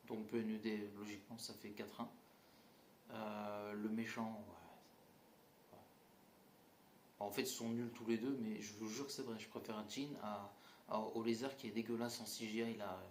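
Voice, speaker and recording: A man's voice: lowish voice, flat delivery, measured pace, pauses often. A man giving a casual film critique, blunt and mocking, with lots of fillers and restarts. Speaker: movie reviewer. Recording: roomy echo, faint ambient noise.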